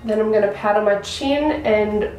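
A young woman with a croaky voice, chatting enthusiastically through a makeup tutorial to a close camera in a small room. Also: medium pitch, moderate pace, darkish tone, faint music behind. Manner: chatty, enthusiastic product talk. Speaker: beauty vlogger. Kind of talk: casual makeup tutorial chat. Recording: very echoey room.

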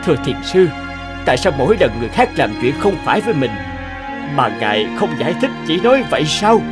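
An earnest, apologetic old patriarch in a cartoon. His pitch swings widely as he speaks humbly, with music clearly behind him. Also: big pitch swings, fast talker. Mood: earnest wonder. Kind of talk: cartoon folk tale dubbing.

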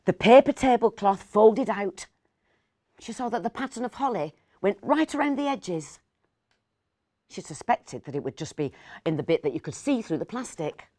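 A woman in her fifties reading a story aloud dramatically, with pauses and hushed asides. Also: mid-range voice, lively intonation, no room sound, studio quality. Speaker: actor reading a short story.

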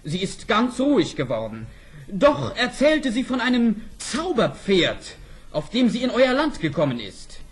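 A bold young prince from a storybook record. His voice sits high and swings widely in pitch. He declaims slowly and ardently, with theatrical pride. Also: wildly swooping pitch, exaggerated voice. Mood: measured, scheming. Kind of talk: German audio fairy-tale drama acting.

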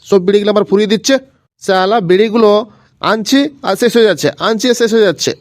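Man acting a grumbling old grandpa, high voice, fast ranting, comedy cartoon, repetitive scolding. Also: steady tone, no room sound, clean studio sound, lightly acted. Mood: exasperated scolding. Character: angry, foul-mouthed, grumbling old grandfather.

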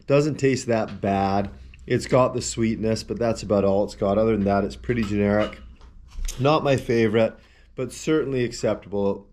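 A man's voice: on the low side, normal speed, a little mellow, slight background noise. Easygoing man rambling through a product review. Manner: rambling, easygoing, talks while handling the tub and shaker. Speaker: protein powder reviewer. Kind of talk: casual product review to camera. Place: small indoor room with shelves of supplement tubs. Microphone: camera at a desk, wide framing.

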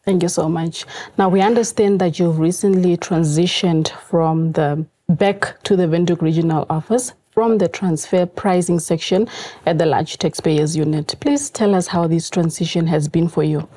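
A woman's voice: lowish voice, steady tone, normal speed, warm tone, dry recording. A woman hosting a studio interview, introducing the guest and asking questions in a formal, scripted way. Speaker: show host. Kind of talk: scripted presenting. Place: TV studio, seated.

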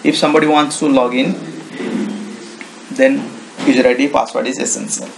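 A man with an Indian accent presenting an informal software walkthrough in halting, repetitive, non-native English phrasing. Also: mid-range voice, fairly even intonation, gravelly. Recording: noisy home-made screen recording.

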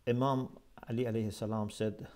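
A man's voice: on the low side, level tone, fairly quick, darkish tone. A middle-aged man gives a religious lecture in an earnest, emphatic manner, speaking into a lapel mic in a small office. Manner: earnest, emphatic, gestures with his hands. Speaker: Islamic scholar lecturing.

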